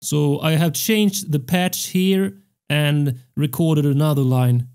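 Slow-paced, mellow young man teaching. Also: on the high side, steady tone, smooth. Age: young adult. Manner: measured, step-by-step explaining. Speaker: music production tutorial host. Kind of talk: tutorial narration. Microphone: close studio microphone on a stand.

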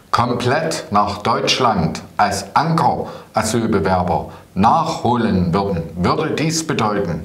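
Middle aged guy doing political commentary, real slow and halting, roomy echo. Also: on the low side, a bit bright. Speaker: politician presenting a party news show. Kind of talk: scripted political commentary to camera. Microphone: clip-on lapel mic. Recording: roomy, echoing studio sound.

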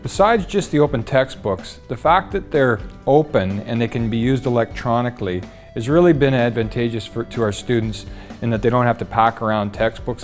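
A man in his fifties, a trades instructor, speaking steadily and explaining things in a studio interview on a lapel mic. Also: medium pitch. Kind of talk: testimonial interview. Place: studio with dark backdrop. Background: faint guitar music.

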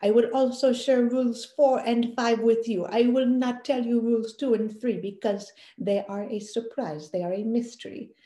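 A woman in her thirties telling a family story to camera in a casual, animated way. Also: fairly high pitch, normal speed, hardly any pauses, reverberant. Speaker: parenting educator. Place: small room indoors.

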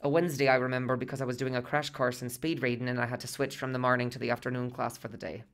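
Chatty, earnest husband played by an actor. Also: male voice, medium pitch, level tone, very fast, a bit bright, lightly acted. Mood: earnest recollection. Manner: conversational, rambling, detailed asides. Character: earnest, chatty working-class husband recalling a hospital visit. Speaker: radio play actor. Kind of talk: storytelling monologue in a radio play.

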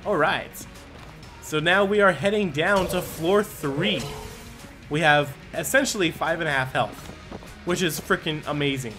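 A man giving casual, excitable commentary as he plays a video game, often talking to himself. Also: fairly high pitch, unhurried. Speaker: gaming YouTuber. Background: game music and gunfire.

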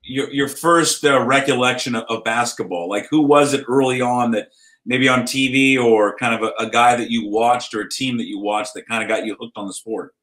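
A man interviewing a guest, starting his questions over and over before getting them out. His tone is bright and forward. There's a roomy echo around him. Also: mid-range voice, moderate pace. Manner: stumbling, restarts questions. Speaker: host.

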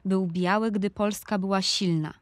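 A woman's voice: mid-range voice, fairly even intonation, normal speed, dry recording, close to an everyday voice. A woman reading a literary memoir in an audio drama, reminiscing about her hometown and youth.

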